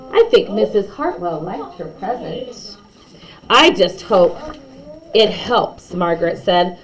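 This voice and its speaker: A woman's voice: fairly high pitch, rough recording. A woman reading a children's bedtime story aloud expressively, with chatty asides to young listeners.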